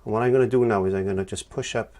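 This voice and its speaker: A middle-aged man from Wales with a croaky voice from laryngitis, talking plainly step by step as he teaches acrylic painting. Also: fairly low pitch, steady tone, rapid-fire, darkish tone. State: hoarse from laryngitis. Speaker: art teacher. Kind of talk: painting tutorial.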